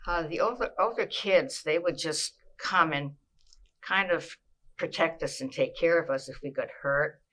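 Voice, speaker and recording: An older woman from Massachusetts, recalling her childhood in an oral history interview, with a measured pace and frequent pauses. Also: low-pitched, fairly bright tone, rough recording.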